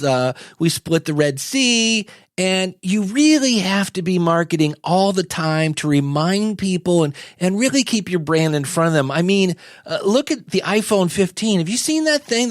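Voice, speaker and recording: An easygoing, modern-day messiah played by a man chats as a comedy podcast guest. His voice is notably high for a male, with a folksy, hesitant, conversational delivery like a small-time marketer. The sound is very dry. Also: dry recording. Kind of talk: casual comedic interview chat.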